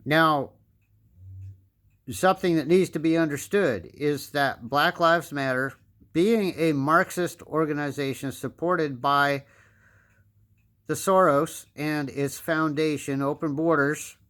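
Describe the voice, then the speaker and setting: A man in his fifties with an American accent delivers a pointed political monologue. His voice sits a little higher than most men's. He lectures with emphasis and hammers his points home by repeating them. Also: slow, a bit bright. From United States. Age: 50s. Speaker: political commentator. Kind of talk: opinion monologue.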